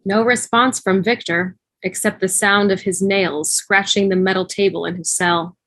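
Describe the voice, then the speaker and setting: A woman narrates scene headings and action from a script. Her voice sits at a medium pitch and is recorded dry, with no room sound. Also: steady tone, normal speed, fairly bright tone, no room sound. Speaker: narrator reading stage directions.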